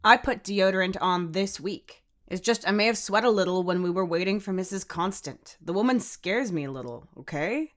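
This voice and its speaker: A woman's voice: medium pitch, normal speed, few pauses, a bit bright, close to an everyday voice. Brief: female narrator as teasing teen lad, offhand, cheeky, slightly defensive, story dialogue. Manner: casual, teasing. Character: cheeky, teasing teenage boy.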